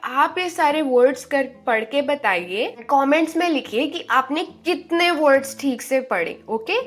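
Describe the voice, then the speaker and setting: A woman from India teaching Spanish in an online lesson. She repeats each word slowly and draws out the sounds. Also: sits high, fairly bright tone. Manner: slow, drawn-out pronunciation drills, repeating words.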